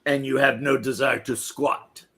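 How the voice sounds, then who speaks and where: A man's voice: mid-range voice, flat delivery, measured pace, a bit bright. A man chatting on a livestream, hesitant, full of fillers and trailing off. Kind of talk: casual chat.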